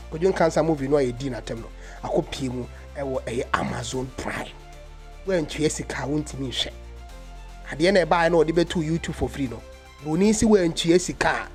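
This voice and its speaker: A Ghanaian man giving impassioned commentary in a vlog, mixing English and Twi. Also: fairly high pitch. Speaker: vlogger.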